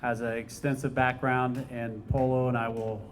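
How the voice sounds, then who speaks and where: A man's voice: mid-range voice, flat delivery, moderate pace, noisy background. A man, the head swim coach, addressing families at a team meeting, informal and full of fillers. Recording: long reverb in a large hall.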